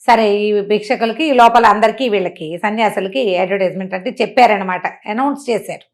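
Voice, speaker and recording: A woman telling a moral tale in a conversational, animated way, putting questions to the listener and acting out dialogue. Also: mid-range voice, brisk. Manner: conversational, uses rhetorical questions and acts out dialogue. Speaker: storyteller and motivational speaker.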